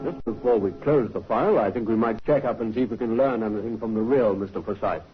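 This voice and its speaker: A man's voice: on the low side, fast, loud music behind the voice, lightly acted. An actor playing a stern, shrewd male police inspector in 1950s radio acting, dry and clipped. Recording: muffled vintage radio recording.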